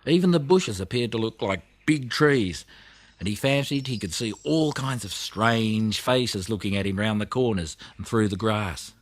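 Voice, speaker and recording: A man narrating a children's audiobook in an expressive storytelling manner. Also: lowish voice, moderate pace.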